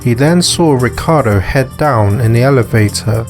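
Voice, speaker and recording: A man's voice: medium pitch, animated, normal speed, faint music behind. A man reading scripted narration for a visual novel as its narrator. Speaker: narrator and author.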